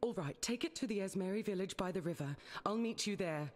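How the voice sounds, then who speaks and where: A woman's voice: medium pitch, animated, fairly quick, darkish tone, close to an everyday voice. A woman playing a weary, resolute soldier in a fantasy video game, speaking in an earnest, serious way. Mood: urgent resolve. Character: weary, resolute female soldier deserting her legion. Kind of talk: scripted fantasy game dialogue. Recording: music behind the voice. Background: game music.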